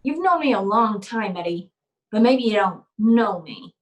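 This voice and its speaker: A woman's voice: medium pitch, expressive, a little slow, close to an everyday voice. A woman acting a hardboiled female private eye from a radio noir play. She is tough and unflappable, with a mid-range voice and businesslike phrasing over faint noise. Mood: tough, impatient. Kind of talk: old-time radio noir acting and first-person narration.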